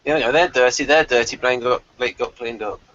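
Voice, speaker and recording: Bright-voiced man chatting, slightly muffled audio. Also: medium pitch, fairly quick. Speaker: host of a TV show review discussion. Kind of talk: casual panel discussion.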